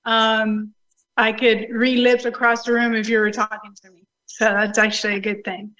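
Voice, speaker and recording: A woman's voice: a little high, level tone, moderate pace, fairly bright tone, dull audio. A woman with a Puerto Rican accent, telling her personal story as a guest in a remote interview. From Puerto Rico. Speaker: show guest, Air Force veteran. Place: video call.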